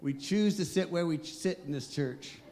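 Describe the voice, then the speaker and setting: A man pastor preaching a sermon, emphatic and slowing down on key phrases. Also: a little high, darkish tone.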